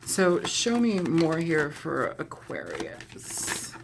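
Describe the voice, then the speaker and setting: A woman reading tarot in a chatty, meandering way, hoarse and scratchy from a cold, often clearing her throat. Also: low-pitched, huge pitch swings, drawn-out words. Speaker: tarot reader. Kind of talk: casual tarot reading monologue.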